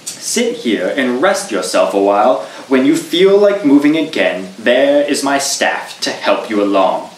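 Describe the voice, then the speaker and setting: A man's voice: mid-range voice, very animated, measured pace, echoey room, distant mic, slight background noise, rough recording. A man voicing a sly, composed old male devil disguised as a traveler.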